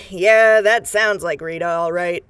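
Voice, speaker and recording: A woman's voice: medium pitch, takes it slowly, a bit bright, clean tone, no echo. An actress playing a chatty, folksy, suspicious townswoman in a post-apocalyptic audio drama. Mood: chirpy, probing. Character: Tammy, chirpy, nosy, suspicious townswoman.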